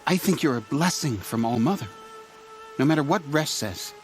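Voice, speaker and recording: A man playing a gentle, admiring young tribesman in a video game, speaking softly and hesitantly. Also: medium pitch, expressive, moderate pace, faint music behind, natural voice. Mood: earnest. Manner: soft, hesitant, earnest. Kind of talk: video game cutscene acting.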